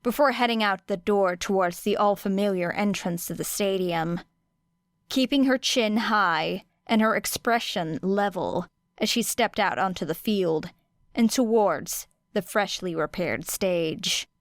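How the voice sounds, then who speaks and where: A woman reading a story as an audiobook narrator, with expressive, dramatic phrasing and deliberate pauses. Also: medium pitch, no echo, studio quality. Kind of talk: expressive scripted fiction narration.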